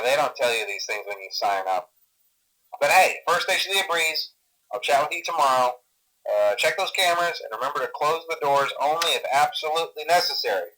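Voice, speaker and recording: A man's voice: medium pitch, bright, forceful tone, poor audio. An actor playing a nervously cheerful male night guard leaving a phone message, hesitant, stammering and awkwardly reassuring while downplaying danger, in a video game. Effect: telephone filter. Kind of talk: recorded phone message, rambling game voice acting.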